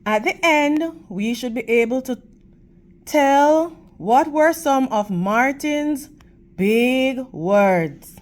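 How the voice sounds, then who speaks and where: A woman reading a picture book aloud to children in a teacherly manner, with deliberate, emphatic phrasing and questions for her young listeners. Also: sits high, lively intonation. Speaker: read-aloud storytime host for children.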